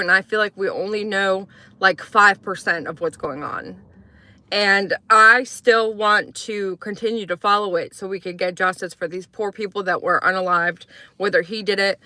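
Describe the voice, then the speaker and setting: Bright-voiced chatty woman streamer. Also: mid-range voice, dry recording. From Croatia. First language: Croatian. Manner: chatty, rambling, reads and answers chat. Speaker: true crime livestream host. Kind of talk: casual morning livestream commentary.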